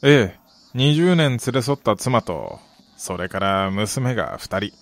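Middle-aged betting soccer fan, low pitch, lively intonation, rueful politeness, quiet menace, radio drama. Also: male voice, slow pace, no echo. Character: aging gambling soccer fan, wistful father, quietly menacing. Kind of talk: Japanese radio drama acting.

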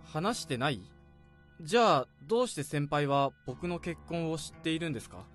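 A man's voice: fairly high pitch, animated, moderate pace, a bit bright, faint music behind. An actor playing an earnest young male office junior who keeps questioning, in a radio drama.

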